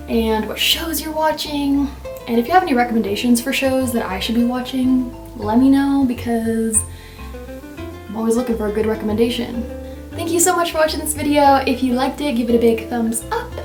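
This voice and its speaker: A woman's voice: high voice, a little mellow. Chatty young woman vlogging in an echoey room. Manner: chatty and upbeat, lots of "like" and "right?". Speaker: language-learning vlogger. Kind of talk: casual to-camera vlog. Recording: echoey room, mic at a distance.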